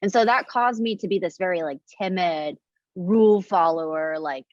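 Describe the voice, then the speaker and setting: A woman's voice: lowish voice, normal speed, dry recording, highs cut off. A woman in her thirties from Indonesia, talking in a reflective, casual way with many fillers and restarts. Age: 30s. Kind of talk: casual conversation.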